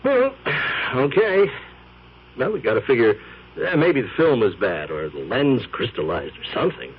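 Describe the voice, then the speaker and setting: An actor playing a brash, wisecracking photographer in a 1970s radio drama, talking fast with slang. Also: male voice, medium pitch, very animated, slightly rough. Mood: puzzled. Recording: dull old radio broadcast.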